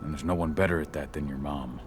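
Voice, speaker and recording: An actor voicing a gruff werewolf warrior and steady, protective father in a video game, in a calm, measured, gravelly voice. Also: rumbling low, faint music behind. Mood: steady, reassuring. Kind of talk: video game cutscene acting.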